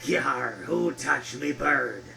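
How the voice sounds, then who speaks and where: Creaky, obliging pirate fox animatronic. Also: male voice, medium pitch, takes it slowly, pushed and bright, distant mic, slight background noise, exaggerated voice. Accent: stage pirate. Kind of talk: video game character voicelines.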